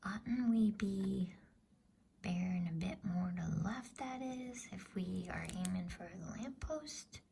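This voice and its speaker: A sly, sulky storybook schoolboy, whispered by a woman. The voice mutters breathily with a dark tone and a creaky edge. Faint noise sits behind it. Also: mid-range voice, slow. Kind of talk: whispered ASMR storybook dialogue.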